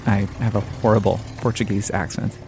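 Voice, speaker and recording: A man hosting a podcast, reading scripted, reflective narration in a measured, earnest way. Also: lowish voice, level tone, a little mellow, a little hiss or hum. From United States.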